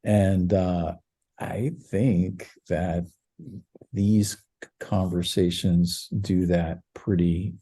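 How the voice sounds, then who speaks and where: A man's voice: deep voice, fairly even intonation, extremely slow, husky. A middle-aged man co-hosting a casual podcast, rambling with frequent fillers and laughs. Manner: rambling, warm, laughs between words. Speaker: podcast co-host.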